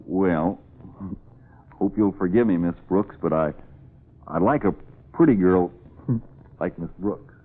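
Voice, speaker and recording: An actor playing an earnest, bashful country pig farmer in a folksy, hesitant way in an old-time radio comedy. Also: male voice, low-pitched, slow, pauses often. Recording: narrow, muffled vintage radio recording.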